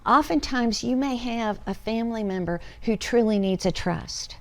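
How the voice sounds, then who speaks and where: An older woman, a guest on a TV studio talk show, explaining things in a measured way through a lapel mic. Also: fairly high pitch. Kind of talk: TV studio interview. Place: TV studio desk.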